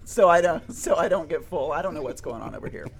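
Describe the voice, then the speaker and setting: A man in his forties with a Southern accent, hosting a casual podcast, chatty and animated, laughing often and restarting his sentences. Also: a little high, quick pace, vocal fry. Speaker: podcast host. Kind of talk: casual chat.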